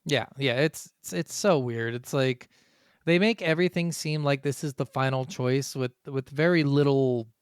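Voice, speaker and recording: A man's voice: medium pitch, normal speed, clear, resonant tone, no room sound, studio quality. A man co-hosting a gaming podcast in a casual, blunt way, swearing freely as he chats. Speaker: podcast co-host.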